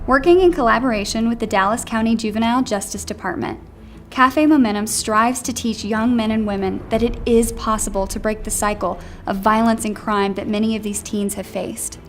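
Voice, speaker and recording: A woman's voice: on the high side, a little hiss or hum. A woman reading a written tribute aloud in scripted narration. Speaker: company employee reading submission stories. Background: background music.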